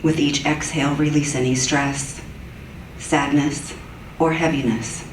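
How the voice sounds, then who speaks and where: A woman leading a guided meditation in a soft, gentle, soothing way. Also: deep voice, steady tone, a little slow, a little hiss or hum. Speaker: meditation leader. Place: church sanctuary. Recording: echoey church room from far off.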